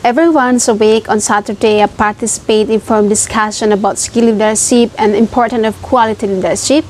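A woman's voice: medium pitch, steady tone, fairly quick, a little mellow, a little hiss or hum, poor audio. A young woman in her twenties, a native Indonesian speaker with an Indonesian accent, presenting herself for a video CV in a careful, rehearsed, list-like way, recorded on a lapel mic in an open-air wooden pavilion. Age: 20s. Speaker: job-seeking student. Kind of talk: recited self-presentation for a video CV.

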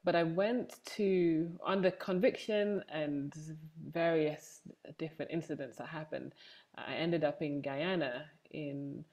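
Young woman, English accent, low register, interview guest speaking conversationally about herself on a video call. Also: slow pace, darkish tone. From Manchester, England. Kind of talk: conversational personal testimony. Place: room at home, indoors, on a video call. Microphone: wired earphones on a video call.

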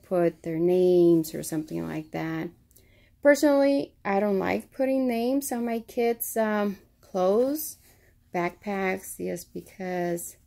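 A woman's voice: fairly low pitch, gravelly, dark tone. A woman casually showing items for a vlog, talking in a hesitant, rambling way in a carpeted room at home. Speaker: shopping haul vlogger. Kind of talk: casual narrated show-and-tell.

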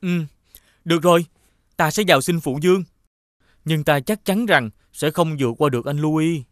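An actor voicing a kind, naive young prince in an animated fairy tale, earnest and innocent. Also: mid-range voice, normal speed, dry recording, clean studio sound, lightly acted.

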